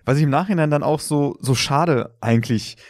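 A man's voice: a little high, moderate pace, a little mellow, dry recording, studio quality. A man co-hosting a podcast in casual chat, feeling his way through his thoughts with tag questions. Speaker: podcast co-host.

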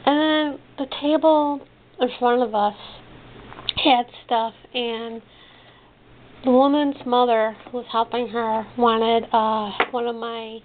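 A woman's voice: on the high side, fairly even intonation. Woman with muffled, phone-like sound, chatting casually. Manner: halting, trailing off and rephrasing. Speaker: hobby crafter showing purchases. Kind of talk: casual show-and-tell haul chat. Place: indoors at a desk. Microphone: handheld camera's built-in microphone. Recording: muffled phone-like sound, clicky background noise.

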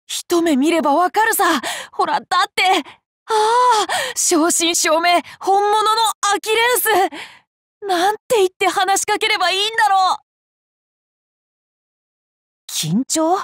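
A woman's voice: very high voice, measured pace, fairly bright tone, dry recording, clean studio sound. A woman voicing a cheerful, curious boy king for an anime game, boyish and polite, with playful self-assurance. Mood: excited, admiring. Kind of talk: Japanese anime game character lines.

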